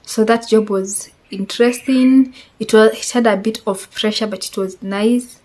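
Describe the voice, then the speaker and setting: A woman's voice: a little high, fairly even intonation, a little slow, a little mellow, rough recording. A woman in her twenties with a Kenyan accent, a Swahili speaker, chatting casually through a tag-question vlog, rambling and hedging as she goes. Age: 20s. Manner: chatty and rambling, hedges with "I think". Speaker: vlogger.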